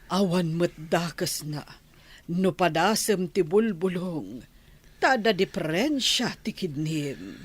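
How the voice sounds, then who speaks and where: Concerned village mother, lively swooping pitch. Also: takes it slowly, breathy edge, exaggerated voice. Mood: persuading. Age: middle-aged. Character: concerned, insistent village mother of a grown son. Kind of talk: Ilocano radio drama acting.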